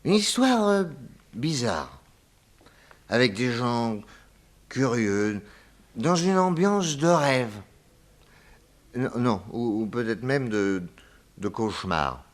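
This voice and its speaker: A man's voice: medium pitch, big pitch swings. An actor playing a genial, tipsy detective uncle who rambles and stammers through his tale in a 1960s French radio drama. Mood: evasive, rambling. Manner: hesitant, halting, stammering.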